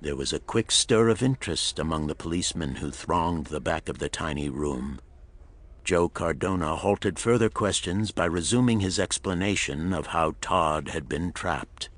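A man's voice: sits low, normal speed. A man narrating a pulp thriller audiobook in a dramatic, scripted storytelling style.

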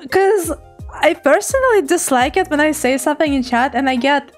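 Giggly high-voiced young woman streamer. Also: normal speed, faint music behind. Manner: giggly, playful, animated. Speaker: VTuber streamer. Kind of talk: casual chat reading chat messages.

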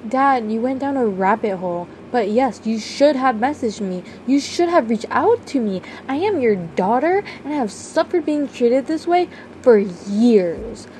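A woman's voice: a little high, animated, measured pace, a little mellow, a little hiss or hum, poor audio. A woman voicing a capable, assertive grown daughter who works as a doctor, in an amateur roleplay drama. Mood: hurt, firm. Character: capable, busy grown daughter, a doctor, hurt but forgiving.

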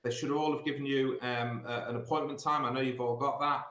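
A man's voice: mid-range voice, fairly even intonation, fairly quick, fairly bright tone. British man advising students, roomy sound. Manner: instructive, repeats points for emphasis. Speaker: school sixth form director. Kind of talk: assembly talk giving exam revision advice. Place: small indoor office. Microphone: computer mic on a video call. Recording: roomy, echoey video call.

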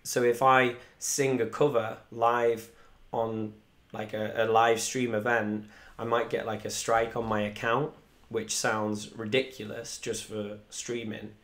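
Young male musician hosting a live stream, rambling and chatty, fairly monotone, desk mic at home. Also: mid-range voice, unhurried. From Wales. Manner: chatty, rambling, reads viewer comments aloud. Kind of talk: casual live stream chat. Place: small room indoors at home. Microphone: desk microphone in front.

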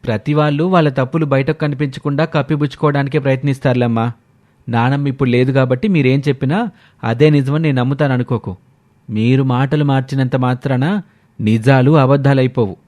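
A man voices a defiant married son in a storybook family drama. He has a medium-pitched voice and argues back with pointed sarcasm, sounding sure that he is in the right. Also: fairly even intonation, a little fast, a little mellow, natural voice. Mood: sarcastic. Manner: argumentative, sarcastic. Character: defiant married adult son, argumentative, defending his wife.

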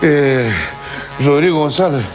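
An older Chilean comedian rattles off a vulgar joke on a TV studio stage, full of swearing and quick voiced quotes. His voice sits fairly high for a man. Loud music fills the background. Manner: profane, animated storytelling with voiced quotes. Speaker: stand-up comedian. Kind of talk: crude stand-up joke telling.

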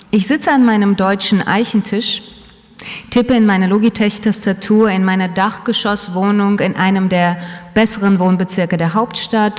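A woman's voice: medium pitch, a little mellow. A woman whose first language is Russian reads her own essay aloud in a reflective manner, with asides to a live audience. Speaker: author giving a talk. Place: large hall with a live audience. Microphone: table microphone, seated at a panel table. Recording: long hall reverb.

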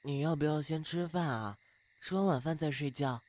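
A man's voice: a little high, moderate pace, a little mellow, close to an everyday voice. A man playing a flustered, bashful, grumbling young housemate in an audio drama. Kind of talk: Chinese fan audio drama acting.

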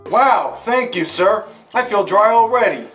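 A man acts out an obedient young army trooper in a retro instructional film. He sounds earnest and deferential, with a slightly high voice, a little off the mic over light music. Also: expressive, a little slow, a bit bright. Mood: grateful. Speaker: trainee in a military training film. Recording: distant from mic, music under voice. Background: background guitar music.

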